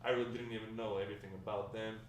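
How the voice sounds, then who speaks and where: A man playing a nostalgic, talkative Mexican-American grandfather in a table read, warm and encouraging, rambling with hesitant pauses. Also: fairly low pitch, level tone, close to an everyday voice. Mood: reminiscing. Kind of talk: amateur table read of a script. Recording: echoey room, distant mic, faint noise.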